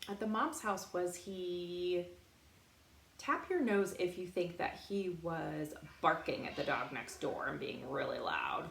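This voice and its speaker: Woman, playful storytime reader, very lively pitch, creaky voice, roomy echo, questions for little kids. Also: takes it slowly. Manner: playful, expressive, addressing young listeners directly. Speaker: storytime reader for young children. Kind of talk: animated picture-book read-aloud with questions to children. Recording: roomy echo, faint background noise.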